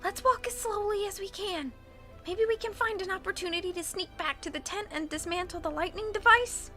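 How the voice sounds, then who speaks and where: A woman voicing an anxious young boy speaking in a hushed, urgent way. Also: very high-pitched, steady tone, normal speed, soft background music, pitched above the performer's own voice, exaggerated voice.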